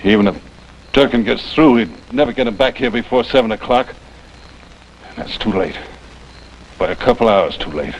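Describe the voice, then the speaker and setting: Captive rebel gunman: lowish voice, hard, blunt, taunting; old western TV sound with slight noise. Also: expressive, highs cut off. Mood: impatient. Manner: blunt, taunting, drawn-out menace. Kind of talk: 1950s TV western acting. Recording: noisy old TV soundtrack, rain-like hiss.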